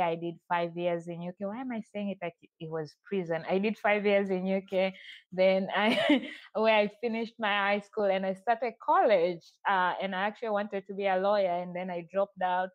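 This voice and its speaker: A woman's voice: medium pitch, fairly even intonation, fairly quick, highs cut off. A woman in her thirties from Tanzania, with a mixed Tanzanian and international accent, chatting as a podcast guest and laughing at herself often. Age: 30s. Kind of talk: casual interview.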